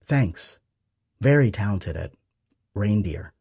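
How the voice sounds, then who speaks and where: A wry, joking grown son, read by a male narrator in an audiobook. His voice sits low with a husky grain and a lively, wry lilt. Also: slow, heavily acted.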